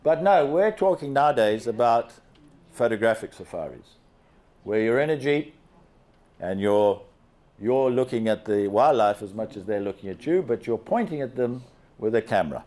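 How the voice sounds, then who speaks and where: An older man from Zambia holds a handheld microphone as he walks a seated audience through a slide presentation. He talks in an animated way and keeps asking the listeners questions, with a voice in a middle pitch. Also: normal speed. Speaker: guest speaker. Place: large banquet hall with seated audience.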